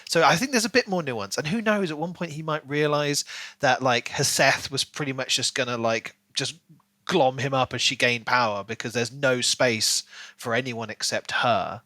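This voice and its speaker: A man's voice: medium pitch, moderate pace, sharp tone, no room sound. A man co-hosting a podcast, talking casually through a book and acting out imagined bits of dialogue as he explains. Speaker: podcast co-host.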